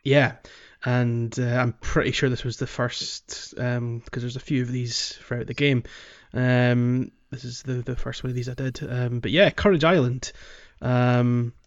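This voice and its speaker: A man's voice: medium pitch, flat delivery. Guy chatting games on a podcast, stop-start and repeating himself, kinda dull lo-fi sound. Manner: halting, repeats words as he thinks. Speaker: podcast co-host. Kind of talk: casual video game chat.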